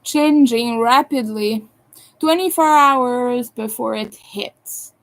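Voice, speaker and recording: Brief: French Canadian woman, higher voice, unhurried, hesitant streamer chat from her room at home. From Montreal, Quebec, Canada. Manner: soft, hesitant, many fillers, chuckling asides. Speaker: crochet livestreamer. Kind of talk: casual livestream chat, reading and answering chat.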